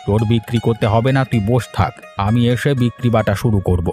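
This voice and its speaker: A man playing a strict, caring village father in an animated moral story, speaking in an admonishing, emphatic way. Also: medium pitch, brisk, faint music behind, close to an everyday voice. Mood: firm. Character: strict, caring village father who sells chickens at market.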